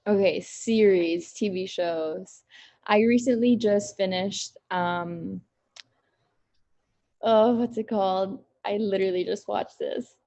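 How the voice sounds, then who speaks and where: Woman in her 20s from the Philippines, bubbly video-call interviewee, mid pitch. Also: slow pace, dark tone. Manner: chatty, bubbly, fillers like "like" and "literally". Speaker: model interviewed at a press conference. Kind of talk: casual online press conference answers.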